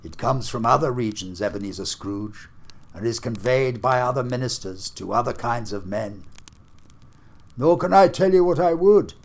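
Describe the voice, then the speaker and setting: A man voicing a doomed, chained ghost of an old businessman, who speaks in a slow, solemn, wailing lament. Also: medium pitch, expressive. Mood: anguished.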